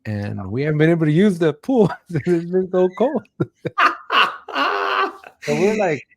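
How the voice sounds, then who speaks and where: A man's voice: a little high, animated, a little slow, warm tone. A man hosting an interview in a casual, conversational style. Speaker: host.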